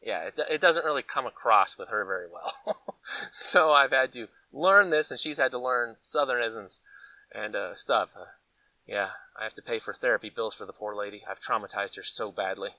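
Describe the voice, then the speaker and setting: A man's voice: on the low side, moderate pace, no echo, far from the mic, poor audio. An American man from Colorado chatting casually as a vlog host, telling stories in a folksy, conversational way. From Colorado, United States. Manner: animated, folksy, conversational storytelling with acted-out asides. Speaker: host of a preparedness gear channel. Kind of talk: casual rambling vlog chat.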